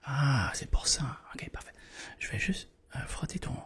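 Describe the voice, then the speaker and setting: A man speaks in an intimate ASMR medical roleplay. His delivery is mostly whispered and gravelly with creak, at a medium pitch. He guides the listener in a hushed, patient, reassuring way, as a doctor would during an exam. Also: animated, measured pace. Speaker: ASMR creator playing a doctor.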